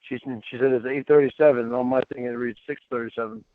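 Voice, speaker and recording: A man's voice: mid-range voice, steady tone, quick pace, no room sound. A man reading a chat exchange aloud and adding his own asides, heard through narrow, tinny playback.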